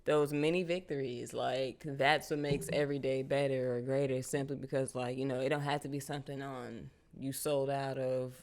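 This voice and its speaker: Animated young woman chatting casually. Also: very deep voice, normal speed, few pauses. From United States, Charlotte. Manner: animated, casual slang, frequent "you feel me". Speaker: guest artist. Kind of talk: casual interview chat.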